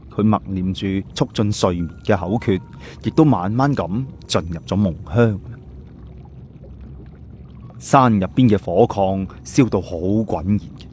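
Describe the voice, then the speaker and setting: A man's voice: lowish voice, darkish tone. A man reading scripted story narration as an audiobook narrator.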